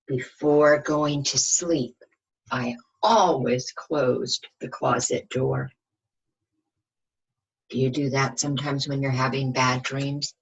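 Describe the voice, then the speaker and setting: A woman reading a picture book aloud to young children like a teacher, expressive, often asking the listeners questions. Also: very deep voice, slow, slightly rough, highs cut off. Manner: asks the listeners questions, dramatic emphasis.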